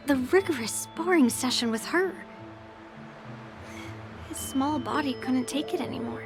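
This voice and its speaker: Cocky young swordswoman in a game, highish voice, smug, music under it. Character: cocky young female sword master, proud of her toughness. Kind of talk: video game voice acting. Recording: music playing behind the voice.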